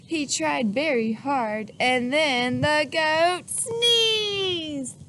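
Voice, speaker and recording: A woman reading a children's picture book aloud in an animated way, stopping to ask the listener questions and acting out the sneezes. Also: high-pitched, extremely slow, noisy background.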